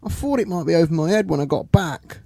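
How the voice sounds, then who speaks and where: Dopey literal workman, soft mellow, bouncy pitch, radio comedy. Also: animated, fast talker, gravelly, no echo. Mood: sheepish. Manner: hapless, naive. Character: dim, literal-minded, apologetic male maintenance worker.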